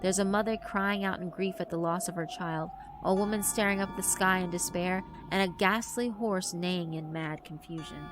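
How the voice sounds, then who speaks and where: A woman's voice: on the low side, steady tone, moderate pace, few pauses, background noise. A woman reading scripted narration for a horror visual novel. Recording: music behind the voice. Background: background music.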